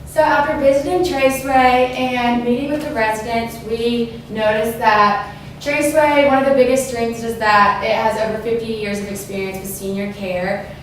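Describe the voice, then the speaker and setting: Young woman presenter, steady and plain as she lists points, slightly high voice, miked from far off. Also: normal speed, slight background noise. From Georgia, US. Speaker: student presenter. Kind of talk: class presentation. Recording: echoey room from far off.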